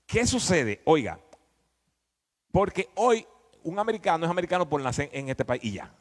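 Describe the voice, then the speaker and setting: Animated male preacher in a reverberant hall. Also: fairly high pitch, normal speed. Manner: emphatic, didactic, often prompting listeners with questions. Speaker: preacher and Bible teacher. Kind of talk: conversational sermon teaching with questions to the congregation. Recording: echoey hall with long reverb.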